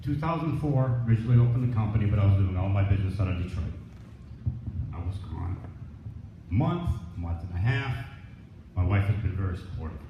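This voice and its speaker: Male business owner, informal thank-you speech, plainspoken; dull recording with clear background noise. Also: on the low side. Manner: plainspoken, colloquial. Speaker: business owner accepting an award. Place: echoey indoor room at a presentation event. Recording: echoey, dull, noisy room recording.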